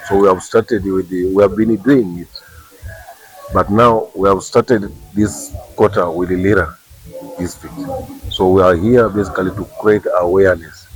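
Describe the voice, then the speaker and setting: A man with a Ugandan English accent and a very deep voice speaks as an official raising awareness. He explains point by point, repeating words and checking in with a quick "eh?". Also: slight background noise, low-quality recording. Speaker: government official. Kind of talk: awareness talk to workers.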